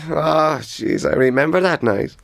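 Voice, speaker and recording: An actor playing a wry, knowing witness reminiscing in a mock-documentary interview. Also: male voice, on the high side, lively intonation, normal speed, vocal fry, heavy background noise. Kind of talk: mock-documentary interview, comic radio acting.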